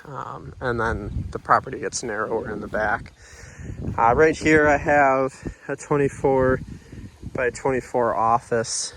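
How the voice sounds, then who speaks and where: A man's voice: medium pitch, unhurried, a little hiss or hum, low-quality recording. Casual male vlogger, stuffy nasal tone, rambling with fillers, recorded on camera outside. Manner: relaxed, rambling, lots of uh fillers. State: nasal from allergies. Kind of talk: casual vlog walkthrough. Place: outdoors on a commercial lot and driving in a truck.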